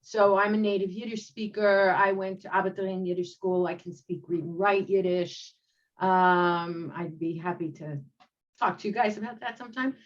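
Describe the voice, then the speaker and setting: A woman's voice: mid-range voice, steady tone, moderate pace. Woman rabbi on a muffled video call. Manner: reflective, conversational, many ums. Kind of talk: personal storytelling on a panel. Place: home room.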